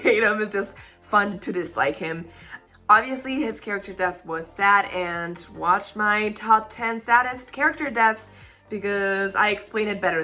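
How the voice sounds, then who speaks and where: A young woman from Spain, a native Spanish speaker, chats through a casual Q&A in English. She rambles, laughs often and keeps an easygoing, talky flow. Her voice is bright and clear, with faint music playing behind her. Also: mid-range voice. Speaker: YouTube channel host. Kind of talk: casual Q&A vlog.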